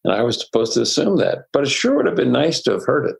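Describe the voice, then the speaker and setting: An older man, a psychologist guest, telling personal stories in a reflective, unhurried way with soft laughs. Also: medium pitch, very animated, gravelly, breathy edge. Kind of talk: conversational interview storytelling.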